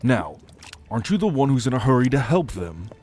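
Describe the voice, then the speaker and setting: A man's voice: mid-range voice, sing-song, a little fast, music in the background, lightly acted. A man playing an ancient, haughty, brooding immortal son of a god in a fantasy audio roleplay, speaking formally and solemnly with disdain. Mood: impatient, confident.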